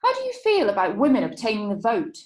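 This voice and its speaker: A woman's voice: on the high side, wildly swooping pitch, quick pace, echoey room. An actress playing a crafty female defence lawyer in a period courtroom play, formal and insinuating. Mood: leading, insinuating. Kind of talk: theatrical courtroom play acting.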